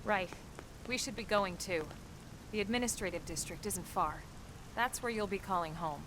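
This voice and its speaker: An actress playing a composed, informal woman colonel in a video game, brisk and matter-of-fact as she guides a newcomer. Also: natural voice. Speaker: military colonel. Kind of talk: video game cutscene dialogue. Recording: game audio over rumbling background noise.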